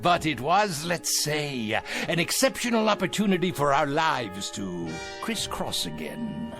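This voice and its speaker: An actor playing a ruthless self-made business tycoon in an audio drama, drawing out phrases with mocking relish. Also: male voice, a little high, very animated, measured pace, creaky, music in the background, full character voice. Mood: gloating, menacing.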